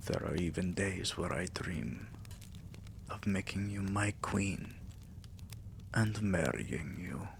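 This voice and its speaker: A man acts out a vampire king doting on a lover in intimate ASMR. The voice is very low and breathy, sinking into gravelly murmurs. Each phrase comes very slowly, tender and possessive, over a faint hiss. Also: lively intonation, exaggerated voice. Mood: loving, earnest. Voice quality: breathy, half-whispered, gravelly. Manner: slow, soothing, affectionate murmuring.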